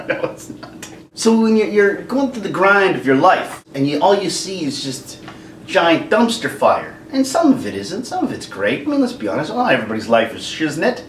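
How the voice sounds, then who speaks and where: A man hosting a casual rant to camera, jokey and animated, now and then slipping into silly singing. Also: high voice, moderate pace. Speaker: YouTube host. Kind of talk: ranting commentary to camera. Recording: echoey room, mic at a distance, faint background noise.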